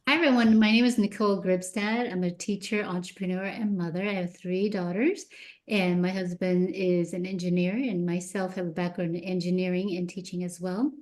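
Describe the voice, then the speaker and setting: A woman school board candidate giving an earnest, halting opening statement with many fillers. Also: on the low side, fairly even intonation, darkish tone. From outside the US.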